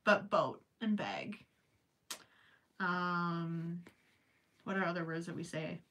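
A woman's voice: lowish voice, takes it slowly, fairly bright tone, far from the mic. Chatty young woman with a Minnesota accent. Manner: chatty, rambling, joking asides with frequent laughs. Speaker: crochet livestream host. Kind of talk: casual livestream chat. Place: small indoor craft room with yarn shelves.

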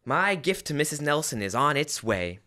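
A young man acts out a flustered, earnest radio-comedy husband. His delivery swings up and down with lively intonation, in the manner of an old-time radio show. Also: on the high side, moderate pace. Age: teens to young adult. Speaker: student actor. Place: school library room, staged performance. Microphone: stand microphone on stage.

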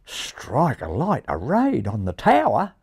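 Man putting on a brash, excitable Australian pigeon. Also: medium pitch, slow pace, vocal fry, husky, no echo, studio quality, pitched above the performer's own voice, heavily acted. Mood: curious, cheeky. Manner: blunt, slangy, exclamatory.

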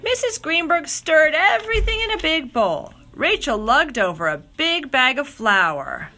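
A bright, high female voice reads a children's storybook aloud. Her delivery swings widely in pitch and stretches phrases for drama. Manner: expressive, drawn-out dramatic phrasing. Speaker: storybook reader. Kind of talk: animated read-aloud of children's picture book.

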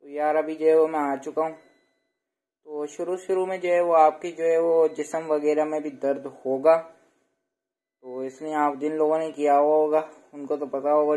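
A man's voice: on the high side, warm tone. A young man talking to camera in a casual home vlog, chatty and full of filler phrases, filmed on a phone held close. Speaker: vlogger. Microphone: phone held close, selfie framing.

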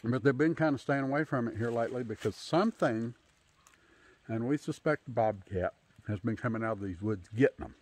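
A man in his fifties giving a casual, unscripted tour outdoors on a handheld phone, pausing often as he talks. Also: medium pitch, darkish tone. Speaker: homesteader hosting a channel. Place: outdoors in bare winter woods. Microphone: handheld phone at arm's length.

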